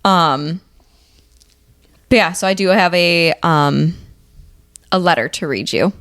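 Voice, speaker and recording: A woman's voice: fairly low pitch, slow pace, a bit bright. A young woman from Illinois chatting casually as the co-host of a podcast. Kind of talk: casual chat.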